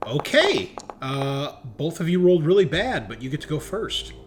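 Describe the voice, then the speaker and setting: A man's voice: fairly high pitch, big pitch swings, normal speed, a little hiss or hum. A man running a tabletop roleplaying game as game master, talking casually and jokily.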